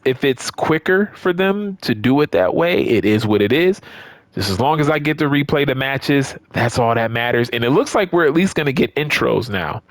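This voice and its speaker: A man's voice: medium pitch, no room sound. A man talking casually and off the cuff to his channel's viewers, rambling conversationally and repeating phrases for emphasis. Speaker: YouTube host of a wrestling game and action figure channel. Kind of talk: casual unscripted chat to viewers.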